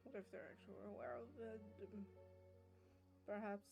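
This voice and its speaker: A woman's voice: mid-range voice, fairly even intonation, normal speed, gravelly. Casual woman commenting over game music. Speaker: game streamer.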